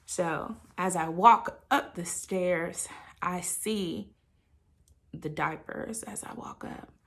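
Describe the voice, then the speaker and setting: A woman's voice: on the low side, takes it slowly, a bit bright. A woman talking informally and candidly to her viewers, like a chatty personal video diary. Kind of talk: casual confessional chat to viewers.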